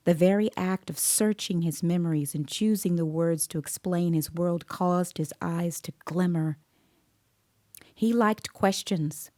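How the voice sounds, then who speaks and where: A woman playing a composed, observant child interviewer, narrating an audio drama in a measured, deliberate way. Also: fairly low pitch, dark tone, lightly acted. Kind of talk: scripted dramatic first-person narration. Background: musical scoring.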